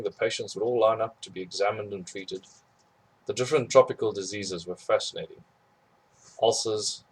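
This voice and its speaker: A man reads a memoir aloud, telling it plainly and steadily with no frills. His voice sits on the low side and has a husky, slightly breathy edge. The delivery is unhurried narration throughout. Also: low-quality recording. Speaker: audiobook reader.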